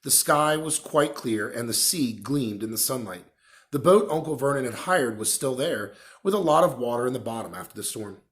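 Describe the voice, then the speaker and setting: A man's voice: medium pitch, animated, normal speed. A man reading a children's novel aloud as a narrator. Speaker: story reader.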